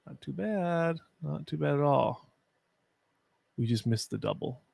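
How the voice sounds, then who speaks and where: A young man with a deep, gravelly voice hosts a live watch party, talking loosely and sharing opinions. His speech is full of fillers. The sound is close and dry, like a desk mic in a small room at home. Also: lively intonation, a little slow, darkish tone, no room sound. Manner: chatty, opinionated, frequent fillers. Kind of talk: casual live-stream commentary.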